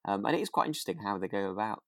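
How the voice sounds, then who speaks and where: A man's voice: on the low side, lively intonation, racing, dry recording, clean studio sound. A British man co-hosting a podcast, chatting casually in an informal, musing and slightly hesitant way. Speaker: podcast co-host.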